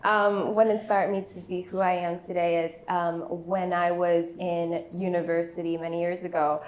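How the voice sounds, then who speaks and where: A woman from Singapore who works as an emotional wellness guide, speaking softly and reflectively on a panel. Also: fairly low pitch, level tone, normal speed. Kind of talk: panel introduction and personal story. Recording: echoey room with faint background noise.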